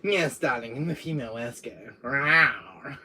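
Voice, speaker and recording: A man voicing a flirty, clingy feminine villain alter ego in a campy, cooing, drawn-out way. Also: mid-range voice, pushed and bright, slight background noise. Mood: flirty, preening.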